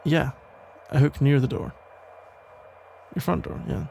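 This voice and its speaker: A man playing a protective, worried police officer and ex-boyfriend in an ASMR audio roleplay, talking in a halting, casual, gently exasperated way with a gravelly voice. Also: on the high side, steady tone, noisy background. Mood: calmer, inquisitive. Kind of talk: ASMR roleplay, conversational one-sided acting.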